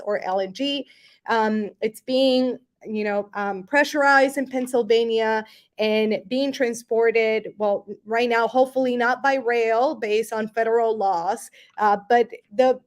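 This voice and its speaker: An upbeat, energetic woman from Puerto Rico, her voice sitting a little high. She speaks to a virtual rally from a city office and restarts her words now and then. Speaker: Sierra Club chapter director.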